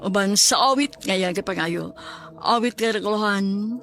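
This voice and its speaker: A woman's voice: medium pitch, normal speed, a bit bright, a little hiss or hum. A woman with a Cebuano accent reading a scripted outro as host of a radio program. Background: music.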